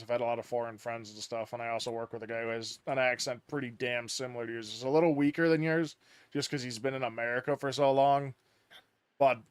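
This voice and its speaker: A man's voice: medium pitch, clear, resonant tone, dry recording. A man streaming a game, chatting casually and explaining at length with many restarts, on a headset mic. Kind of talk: casual gaming chat.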